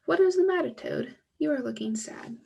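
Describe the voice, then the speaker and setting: Frog, a gentle eager frog read by a woman. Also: sits high, animated, normal speed, gravelly, breathy edge, full character voice. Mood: kind. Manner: gentle storybook read-aloud voice. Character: kindly, eager male frog, a loyal friend. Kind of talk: reading a children's book aloud.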